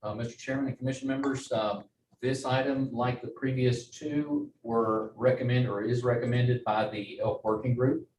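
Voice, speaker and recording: A man giving a formal staff presentation at a meeting, in a halting, measured way. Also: medium pitch, fairly even intonation, darkish tone. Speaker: wildlife agency staff presenter. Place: meeting room. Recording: roomy, distant mic, faint noise.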